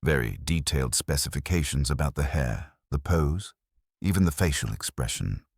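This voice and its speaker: A nervous, fastidious window dresser, read by a male narrator in a mystery story. The voice is very low and swings up and down, with anxious, precise, deferential phrasing. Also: animated, breathy edge, no echo, clean studio sound, full character voice. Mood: nervous, defensive.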